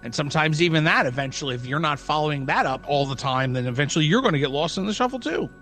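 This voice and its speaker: Male co-host, a little higher-pitched, casual and slangy, emphatic with repeated phrases, light music underneath. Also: lively intonation, fast, a bit bright. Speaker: podcast co-host. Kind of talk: casual chat.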